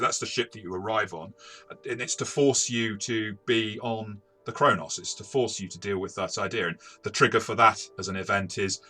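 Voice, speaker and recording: A man in a conversational game review, speaking in a hesitant, halting way and often correcting himself. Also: fairly low pitch, sharp tone. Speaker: game reviewer and experienced game master.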